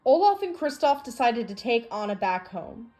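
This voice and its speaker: A woman with a fairly high voice reads a children's story aloud. Faint music plays behind her narration. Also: big pitch swings, normal speed, darkish tone. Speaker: storybook reader.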